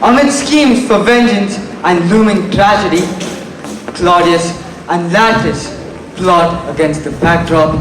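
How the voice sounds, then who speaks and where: Male narrator, scripted play summary, high voice, distant mic, noisy echoing hall. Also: slow pace.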